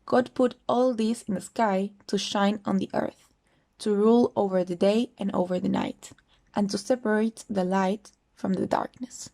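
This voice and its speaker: A woman's voice: mid-range voice, vocal fry, warm tone. A woman reading a scripture story aloud from a text. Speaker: volunteer scripture reader.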